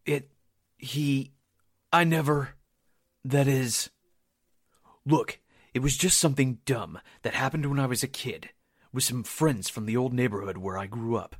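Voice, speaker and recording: An actor playing an earnest, indignant young soldier with a stammering, defensive manner in a 1940s-style radio drama. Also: male voice, medium pitch, measured pace, pauses often, no echo, studio quality, lightly acted. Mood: flustered, defensive. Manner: earnest, stammering under pressure. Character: earnest, indignant young corporal, falsely accused fiancé.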